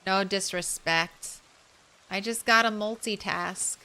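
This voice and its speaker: A woman streamer chatting softly and casually with her viewers while playing a game, thanking them as she goes. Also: mid-range voice, slow, a little hiss or hum, rough recording. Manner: soft, relaxed, thanks viewers. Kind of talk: casual live-stream chat while gaming.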